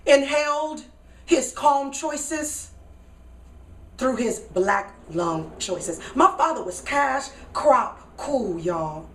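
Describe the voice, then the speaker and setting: A woman from Kentucky with an American accent delivers a theatrical spoken word monologue in dramatic, deliberate phrases with pauses. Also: sits high, lively intonation. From Kentucky, United States. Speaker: solo stage performer and spoken word poet. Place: radio studio live performance. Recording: roomy echo, distant from mic.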